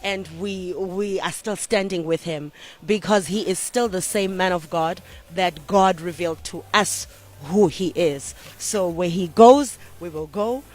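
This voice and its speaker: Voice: medium pitch, measured pace. Brief: supporter from South Africa, fervent, testimony style. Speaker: church supporter.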